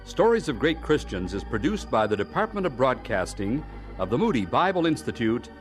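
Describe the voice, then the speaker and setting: A man announcing a radio program in a measured, formal way. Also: medium pitch, sing-song, rough recording. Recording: organ music under the voice.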